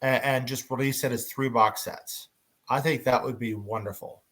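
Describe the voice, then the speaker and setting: A man hosts a relaxed fan discussion, addressing listeners and fellow panelists. His voice sits at an average pitch, and his phrasing comes in hesitant, halting pieces. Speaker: panel host. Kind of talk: informal fan panel moderation.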